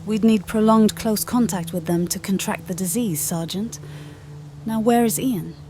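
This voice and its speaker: A woman's voice: medium pitch, normal speed, warm tone, slight background noise, close to an everyday voice. A woman playing a determined, insistent doctor in a dramatized audio comic. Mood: firm. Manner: insistent, assertive.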